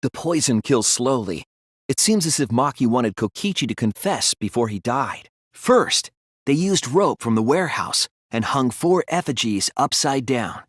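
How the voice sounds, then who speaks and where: An actor playing an earnest, thoughtful teenage male detective in an English video game dub, explaining deductions deliberately. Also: medium pitch, expressive, slightly rough, no room sound, clean studio sound. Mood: earnest, analytical.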